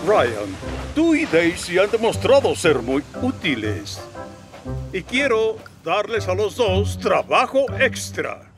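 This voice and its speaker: Pompous railway boss in a kids cartoon, latam spanish, slow and really animated, music behind. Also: male voice, sits high, full character voice. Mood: bossy, brisk. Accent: Latin American Spanish. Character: pompous portly railway director, busy and easily surprised. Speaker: railway director giving orders. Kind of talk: animated children's series dialogue.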